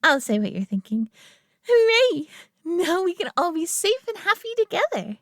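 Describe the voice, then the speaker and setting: A woman voicing a possessive, doting alien who coos over her pet human in an intimate roleplay audio drama, coddling and softly condescending. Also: very high voice, sing-song, moderate pace, dry recording, studio quality, heavily acted. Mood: gleeful, excited.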